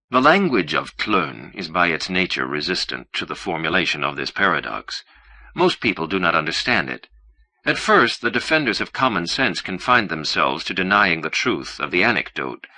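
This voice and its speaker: A man reading literary fiction as an audiobook narrator, in a measured, formal and precise manner. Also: low-pitched, bright, forceful tone, no room sound.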